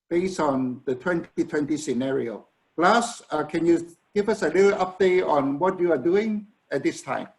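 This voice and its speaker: A man moderating a business webinar over a video call, introducing guests in a hesitant way, restarting phrases with fillers. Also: a little high.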